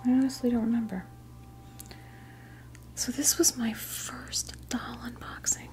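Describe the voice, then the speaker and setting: A woman hosting an ASMR doll unboxing, chatting casually and softly to camera, gushing over small details in an unhurried way. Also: on the high side, steady tone, faint music behind.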